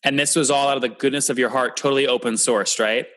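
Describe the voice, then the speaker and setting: Male podcast host, mid-pitched, rapid and blunt questioning. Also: fairly even intonation, a bit bright. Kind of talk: brisk interview questioning.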